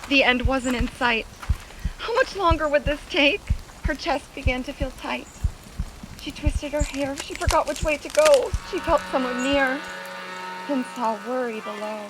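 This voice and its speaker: A woman reading a rhyming children's story aloud in an expressive, gentle, deliberate way, with music behind her. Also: sits high, noisy background. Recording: prominent music under the voice.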